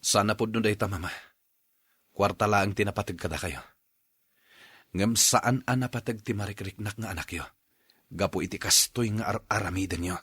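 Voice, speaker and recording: A sad ilocano husband in a radio drama, low husky voice, close and dry, earnest. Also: male voice, moderate pace, pauses often, a bit bright, no room sound. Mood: bitter. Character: unhappy, lovelorn young husband and father, quietly defiant.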